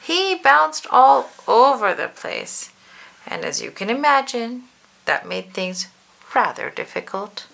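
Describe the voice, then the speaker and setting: Female storybook reader: bright, slightly gravelly edge, animated delivery with drawn-out emphasis, speaks straight to the child. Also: a little high, rough recording. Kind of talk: expressive children's read-aloud narration.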